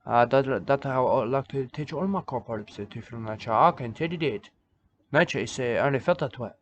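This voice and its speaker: A man playing an odd male preacher of a nudist church, who talks in halting broken English and keeps repeating himself. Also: mid-range voice, slight background noise, close to an everyday voice. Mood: rambling. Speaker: self-styled church leader. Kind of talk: rambling comic monologue. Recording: dull lo-fi recording.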